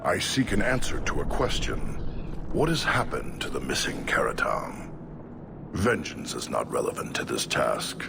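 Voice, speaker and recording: An actor playing a stern, proud Qunari warrior in a game, speaking in terse, formal, menacing lines. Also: male voice, low voice, big pitch swings, normal speed, vocal fry, heavily acted. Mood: cold, demanding. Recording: game dialogue over noisy ambience.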